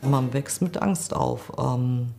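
A woman in her forties giving a reflective, halting interview account. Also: extremely low pitch, vocal fry, dark tone, faint music behind. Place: quiet indoor room.

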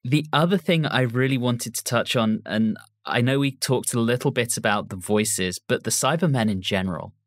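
A man's voice: mid-range voice, moderate pace, hardly any pauses, dry recording. Man hosting, dry studio-like sound. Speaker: podcast host. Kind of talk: casual podcast discussion.

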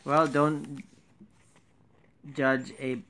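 A man's voice: mid-range voice, flat delivery, drawn-out words, a bit bright. A man in casual, teasing conversation as he helps children read a storybook aloud. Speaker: adult helper in a children's read-along. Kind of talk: casual read-along chat, prompting words.

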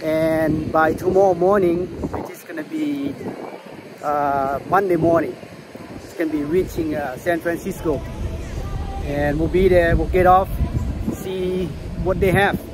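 A man's voice: fairly high pitch, steady tone, measured pace, over music, poor audio. A man in his fifties with a Thai accent, chatting casually in broken English as he films himself with a phone held at arm's length on an outdoor cruise ship deck. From Thailand. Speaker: vlogger. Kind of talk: casual travel vlog.

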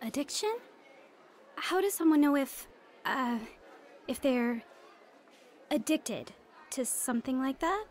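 High-voiced, hesitant teenage girl. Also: lively intonation. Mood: hesitant, curious. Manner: hesitant, halting.

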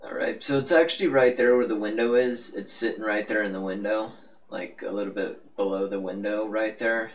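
A man's voice: fairly low pitch, moderate pace. A man giving a casual, rambling, offhand game walkthrough as the host of a gaming video. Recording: muffled, noisy, distant-sounding microphone.

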